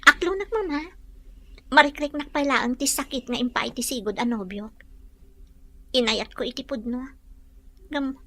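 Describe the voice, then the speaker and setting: A woman acting a bashful, warm-hearted young hospital worker in an Ilocano radio-style drama, earnest and expressive, with an Ilocano Filipino accent. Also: high voice, moderate pace, long pauses, dry recording.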